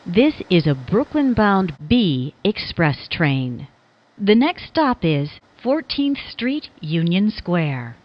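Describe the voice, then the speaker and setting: A woman's voice: fairly low pitch, big pitch swings, slow pace, lo-fi sound. A woman reading scripted transit announcements as a train announcer, in formulaic, carefully enunciated phrases that sound spliced together.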